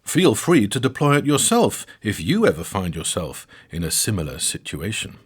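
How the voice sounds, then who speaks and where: A man narrating a scripted comic story for a podcast, wry and theatrical with dramatic pauses. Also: on the low side, wildly swooping pitch, breathy edge.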